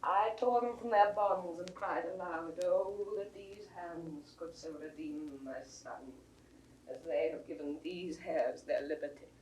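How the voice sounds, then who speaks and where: A woman's voice: fairly low pitch, measured pace, slight background noise, lightly acted, poor audio. Woman acting a widowed noble mother wild with grief, old shakespeare radio style, drawn out and theatrical. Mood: bitter, broken. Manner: theatrical, declamatory, swinging between hushed and wailing. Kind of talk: 1960s classical Shakespearean audio drama acting.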